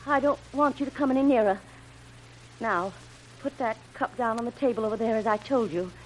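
A woman's voice: fairly high pitch, brisk, close to an everyday voice. A woman playing a frightened but commanding, suspicious captive young woman in melodramatic, stammering 1930s radio style. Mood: fearful, commanding. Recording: noisy old radio recording.

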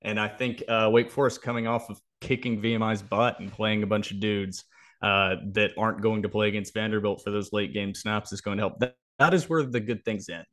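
A man's voice: fairly low pitch, fairly even intonation, brisk, fairly bright tone. A man co-hosting a sports podcast, talking through analysis and predictions in a casual, explanatory way.

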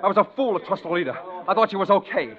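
A man's voice: high-pitched, expressive, racing. An actor playing a cocky, scheming American tough guy in a hard-boiled, slangy 1940s radio thriller. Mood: urgent, feigned remorse. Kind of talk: 1940s radio thriller acting and first-person narration. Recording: dull 1940s radio recording with music.

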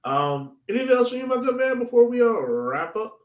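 A man's voice: extremely high pitch, big pitch swings, brisk, echoey room. A man hosting a podcast, chatting casually about sports in an informal, slangy way. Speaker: podcast host.

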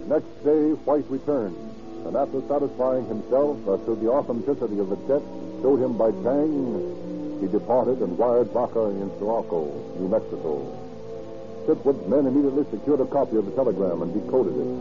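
A man narrating an old radio crime drama in a grave, formal, measured, suspenseful style. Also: mid-range voice, natural voice. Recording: muffled old radio recording with music. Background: dramatic music.

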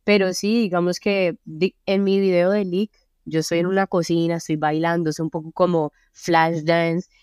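Colombian woman, young adult, low voice, smooth and resonant, dry close sound, easygoing talk with lots of fillers. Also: moderate pace, dry recording. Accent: Colombian Spanish. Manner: relaxed, many fillers like "o sea" and "como". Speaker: singer-songwriter guest. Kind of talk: casual interview chat. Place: friends' apartment.

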